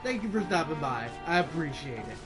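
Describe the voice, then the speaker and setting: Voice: medium pitch, sing-song, fairly quick, over music. A game streamer chatting casually with viewers during a livestream.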